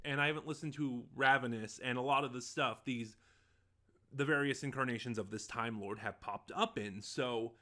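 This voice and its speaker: A man's voice: medium pitch, normal speed, bright, forceful tone. A man giving a casual, unscripted review as host, talking in a rambling, hesitant way and often correcting himself. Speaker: online reviewer of audio dramas, host.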